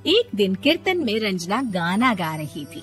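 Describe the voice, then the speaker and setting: A woman's voice: mid-range voice, sing-song, a little slow, fairly bright tone, breathy edge. A woman reading scripted narration for a domestic moral story as its storyteller. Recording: music under the voice.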